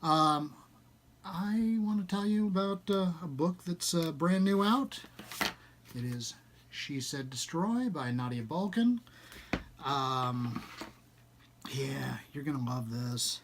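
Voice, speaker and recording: A man presenting a book like an enthusiast publisher, part casual pitch and part reading aloud, with expressive, emphatic delivery. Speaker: small-press horror and fantasy publisher presenting a book.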